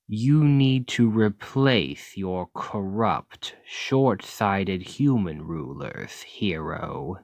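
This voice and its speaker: Man voicing a slow, deep, condescending Demon King. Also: no room sound, clean studio sound, full character voice. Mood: calm, persuasive. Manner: measured, condescending, menacing. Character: ancient male Demon King, coldly calculating, condescending tyrant. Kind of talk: ASMR roleplay monologue.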